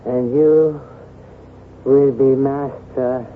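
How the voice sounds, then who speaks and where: Over a thin, phone-like radio signal with faint noise comes a dying aristocrat set on revenge. He declaims solemnly and theatrically, with iron-willed menace in a medium-pitched voice. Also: fairly even intonation, extremely slow. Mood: vengeful, ominous. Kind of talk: 1970s radio drama acting.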